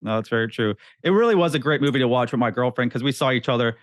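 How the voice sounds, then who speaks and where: A man's voice: medium pitch, rapid-fire, dry recording, studio quality. A man hosting a casual interview, chatting with a fan's enthusiasm about films and shows. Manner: friendly, chatty, fan enthusiasm. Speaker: interview host.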